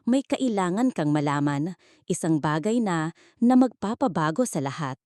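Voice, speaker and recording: Woman acting as anxious young filipino single mom in an audio drama, earnest n halting, mellow, very expressive. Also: on the low side, dry recording, studio quality, lightly acted. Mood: earnest, tense. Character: anxious but determined young single mother.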